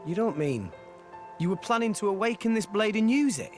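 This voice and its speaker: Earnest young game hero kid, high voice, really animated. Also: male voice, fairly quick, soft background music. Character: plucky working teenage boy, earnest, fair-minded young hero. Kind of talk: video game cutscene acting.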